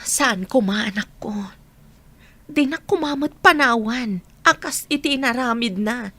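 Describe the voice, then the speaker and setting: A woman's voice: a little high, animated, unhurried, fairly bright tone, husky, heavily acted. A woman playing a hurt, guarded young mother in an Ilocano audio drama, reserved in manner. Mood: uneasy, bitter.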